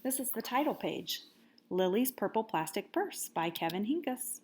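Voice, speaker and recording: A woman reading a children's storybook aloud as a teacher would, with expressive, dramatic emphasis. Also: mid-range voice, moderate pace, breathy edge.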